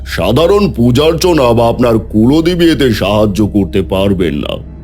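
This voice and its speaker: A man's voice: medium pitch, big pitch swings, measured pace, darkish tone, soft background music. A man voicing a grave, boastful tantric holy man who speaks portentously in a horror cartoon. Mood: menacing, boastful. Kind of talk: dramatic horror cartoon voice acting.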